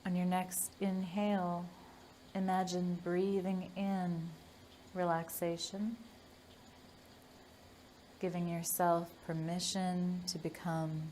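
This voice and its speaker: A woman leading a guided meditation in a gentle, soothing manner, leaving long pauses between phrases. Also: lowish voice, slight background noise.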